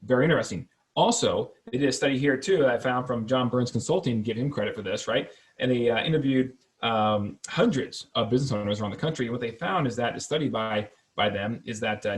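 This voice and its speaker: A man hosting a real estate podcast from an office, talking casually and with energy, joking and tagging his points with "right?". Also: medium pitch. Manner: energetic, joking, fact-focused, says "right?" often. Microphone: podcast microphone.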